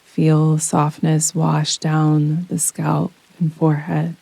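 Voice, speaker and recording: A woman gently guiding a yoga nidra relaxation meditation in a soothing, unhurried manner with long pauses. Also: deep voice, monotone, gravelly, breathy edge, no room sound. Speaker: meditation guide. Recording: close dry voice over rain sounds. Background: soft rain sounds.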